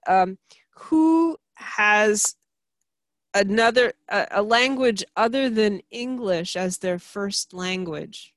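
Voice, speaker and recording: A woman leading a group video call, asking people questions and pausing with many fillers. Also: medium pitch, lively intonation, no room sound, studio quality. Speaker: group facilitator.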